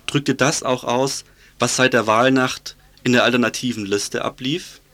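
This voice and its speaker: Male radio reporter and interviewer, mid-pitched voice, scripted news delivery, dry studio sound. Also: fairly even intonation, unhurried, bright, forceful tone, dry recording.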